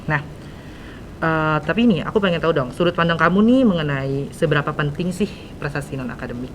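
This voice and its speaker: Low-pitched teenage girl, Jakarta podcast host. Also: brisk, low-quality recording. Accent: Jakarta Indonesian. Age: teens. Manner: chatty, informal youth slang. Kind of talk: casual chat interview. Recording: rumbly background noise.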